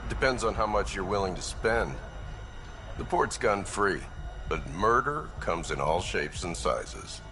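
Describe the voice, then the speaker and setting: A man's voice: low voice, animated, measured pace, over music. A man playing a wry, world-weary frontier port worker in a video game, laconic in manner. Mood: wry, casual. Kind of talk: video game cutscene acting.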